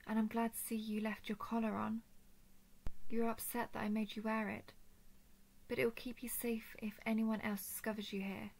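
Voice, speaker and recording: Woman acting as gentle female dragon, audio roleplay, formal measured wording, soothing, soft breathy phrase endings, creaky, evenly pitched. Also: flat delivery. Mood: relieved, concerned. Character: gentle, protective female dragon, earnest empathic royal daughter. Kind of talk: scripted roleplay audio drama.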